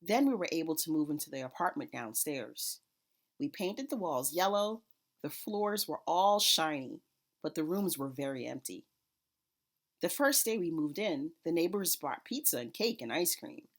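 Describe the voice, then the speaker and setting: A woman reading a children's picture book aloud in an expressive storytelling manner. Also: low voice, normal speed. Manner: expressive, acts out characters' dialogue.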